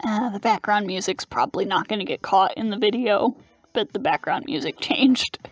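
A woman's voice: medium pitch, lively intonation, moderate pace, no echo, soft background music. A woman giving casual gameplay commentary, talking half to herself as she plays. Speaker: game streamer.

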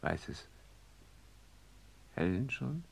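A man's voice: mid-range voice, big pitch swings, drawn-out words, vocal fry, slightly rough, full character voice, rough recording. A man playing a weak patient newly awake in a radio drama, on a hissy, clicking recording. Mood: weak, sly. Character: weak, grinning man waking in a transplanted body.

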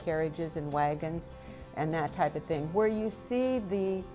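Low-pitched American woman in an interview. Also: measured pace, dark tone, faint music behind. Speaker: museum representative, interviewee. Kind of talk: informal on-site interview. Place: large old brick livery stable, indoors. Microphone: lapel mic.

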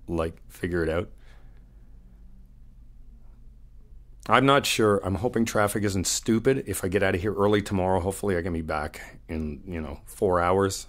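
A man playing an easygoing, flirty hotel guest in an audio roleplay, chatting casually and haltingly in one-sided phone talk. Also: low voice, close to an everyday voice. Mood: smitten, bashful. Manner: casual, halting, slangy, swearing.

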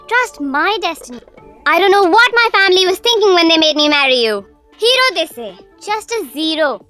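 Cartoon rabbit wife: very high, sharp, bossy, sarcastic scolding. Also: female voice, exaggerated voice. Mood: exasperated, scolding. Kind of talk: animated cartoon character acting.

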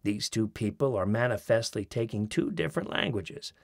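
Guy reading a funny essay, raspy voice, bouncy pitch, does little bits. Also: animated, normal speed, no room sound. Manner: mimics accents in quoted phrases, dry wit. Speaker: audiobook narrator. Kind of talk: expressive reading of a humorous essay. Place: studio. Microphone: close studio mic.

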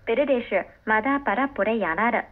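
A woman's voice: a little high, very fast, narrow, tinny audio, background noise. A woman announcing on the radio, heard through a shortwave speaker.

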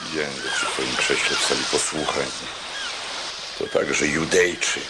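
A dutiful Roman officer in a radio play. The voice is extremely low and clipped, reporting plainly. Loud rumbling water noise surrounds it. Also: male voice, measured pace. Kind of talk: radio drama acting.

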